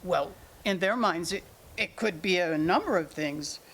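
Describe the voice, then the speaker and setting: A woman's voice: on the low side, lively intonation, a little slow, a bit bright, breathy edge, full character voice. A woman playing an evasive, argumentative older mother in denial about her son, in a staged drama reading. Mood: explaining. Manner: deflecting, argumentative, talks in analogies. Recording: noisy room ambience.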